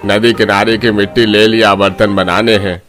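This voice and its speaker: Bright-voiced village man acted by a man. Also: lowish voice, little pitch movement, normal speed, soft background music, close to an everyday voice. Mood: friendly. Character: village potter and sly priest, loud accusing man. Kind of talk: Hindi animated story dialogue.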